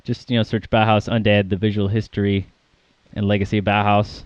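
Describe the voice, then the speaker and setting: A man's voice: fairly low pitch, flat delivery, brisk, smooth, no echo, highs cut off. A man co-hosting a casual podcast about music, rambling with plenty of fillers and little laughs. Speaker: podcast co-host.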